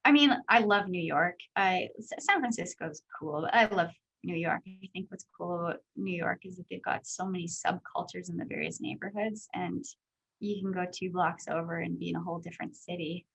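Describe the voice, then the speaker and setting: Chatty woman with a high voice. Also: fairly quick, vocal fry, a bit bright, slightly rough, low-quality recording. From Canada. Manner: candid, chatty, many "like" and "um" fillers. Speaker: guest speaker, company executive. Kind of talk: casual interview answers.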